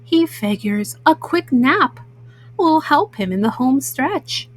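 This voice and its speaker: A woman reading children's bedtime stories aloud as a storyteller, in a gentle, motherly way. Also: sits high, lively intonation, slow.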